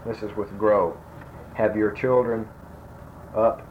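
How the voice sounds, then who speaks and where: A man in his sixties, speaking softly and slowly as he asks grammar fill-in-the-blank questions, his sentences trailing off. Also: on the low side, fairly even intonation. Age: 60s. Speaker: interviewer giving a grammar quiz. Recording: dull lo-fi tape with faint noise.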